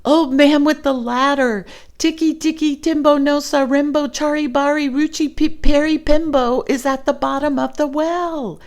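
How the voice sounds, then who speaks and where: A woman's voice: fairly even intonation, a little mellow. Woman doing a frantic breathless little boy. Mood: shouting. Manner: high-pitched, urgent, rushing the long name.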